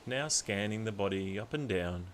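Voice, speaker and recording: A man narrating a guided meditation in a calm, soothing, deliberate way, leaving long pauses. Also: lowish voice, a little hiss or hum.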